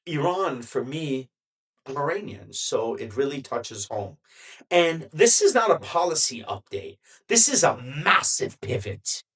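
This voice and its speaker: A man speaks in unhurried phrases and leans on pauses for emphasis, like a solo commentator talking straight to the viewer. His voice sits at an ordinary middle pitch for a man and never rushes. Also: fairly bright tone, husky. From United States. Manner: measured, deliberate, with emphatic pauses. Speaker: commentary vlogger.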